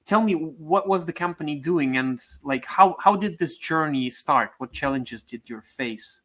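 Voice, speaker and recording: A man's voice: a little high, animated, measured pace, a bit bright. A man hosting a conference interview, friendly and casual, with many fillers. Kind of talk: conversational interview.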